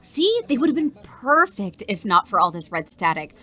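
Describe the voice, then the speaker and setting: Woman playing an animated, bright-voiced teenage student reporter. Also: mid-range voice, moderate pace. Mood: eager, confident. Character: eager, cocky teenage high school student reporter. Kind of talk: conversational audio drama acting.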